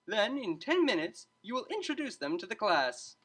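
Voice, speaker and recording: An upbeat stallion tutor acted by a woman in a storybook reading. He is breezy and coaxing, with a lively, swooping pitch and bright tone. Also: moderate pace, breathy edge. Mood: encouraging. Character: cheerful, meddling male pony psychology tutor, unflappable.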